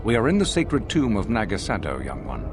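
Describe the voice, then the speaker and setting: A stern, scheming dark-side master from a video game. His voice is low and swings expressively through formal, lecturing pronouncements. Prominent music plays behind him. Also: huge pitch swings. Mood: solemn, commanding. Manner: formal, measured, pompous, lecturing delivery. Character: stern, scheming male Sith master, mature and imperious. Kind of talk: theatrical video game villain acting.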